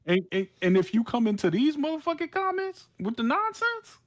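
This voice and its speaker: A man's voice: very high-pitched, wildly swooping pitch, moderate pace, no room sound, studio quality. A man co-hosting a podcast, talking casually with slang, swearing and a playful way about him. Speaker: podcast co-host. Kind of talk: casual chat.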